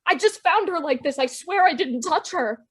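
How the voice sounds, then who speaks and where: A woman's voice: high voice, a little slow. A woman acting in an audio drama as a distraught young co-worker who seems innocent. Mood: distraught, pleading.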